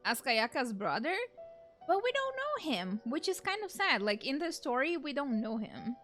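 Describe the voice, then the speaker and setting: A young woman giving casual, rambling and expressive commentary as she plays a video game. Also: sits high, normal speed, soft background music. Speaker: gaming streamer. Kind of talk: casual let's play commentary.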